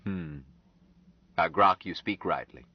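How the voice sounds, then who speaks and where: A man reading an audiobook, voicing a gruff older man who speaks dryly and bluntly. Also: low voice, takes it slowly, dry recording, exaggerated voice. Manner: dry, blunt, grumbling. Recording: slightly dull lo-fi audio.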